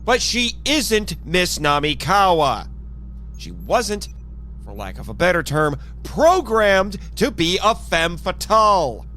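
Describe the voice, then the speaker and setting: A man playing an exasperated, scolding yet contrite team leader in a fan audio drama, speaking expressively and haltingly. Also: sits high, heavily acted. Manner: expressive, sighing, hesitant. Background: background music.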